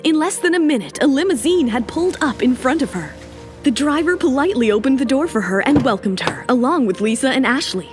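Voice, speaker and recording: A woman's voice: high voice, lively intonation, fairly quick. A woman reading scripted, dramatic narration for a serialized romance audio story. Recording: music under the voice.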